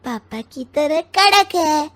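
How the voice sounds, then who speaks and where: A woman voicing a sulky, cheeky little schoolboy who answers back pertly in an animated moral story. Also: sits high, slow, voice pushed up, heavily acted.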